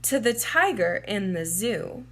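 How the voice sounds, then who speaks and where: A woman reading a children's storybook aloud in a measured, deliberate and expressive way, drawing out words. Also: mid-range voice. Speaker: children's storybook reader, teacher. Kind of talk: read-aloud storybook narration for young children.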